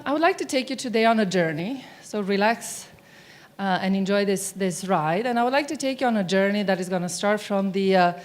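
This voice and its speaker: A middle-aged woman from Romania giving a conference keynote on stage, chatty and often asking the audience questions. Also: mid-range voice. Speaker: keynote speaker. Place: stage of a large conference hall. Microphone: mic on stage.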